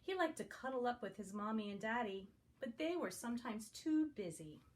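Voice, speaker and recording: A woman's voice: fairly high pitch, lively intonation, normal speed. A woman reading a picture book aloud to young children as a guest reader. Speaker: guest story reader for preschoolers.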